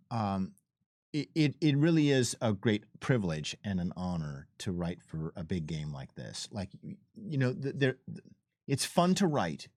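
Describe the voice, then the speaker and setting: A man's voice: on the low side, dry recording, studio quality. A man talking casually as a game designer, enthusiastic and emphatic, often repeating himself and veering into asides. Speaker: game designer and adventure writer. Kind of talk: casual developer commentary chat.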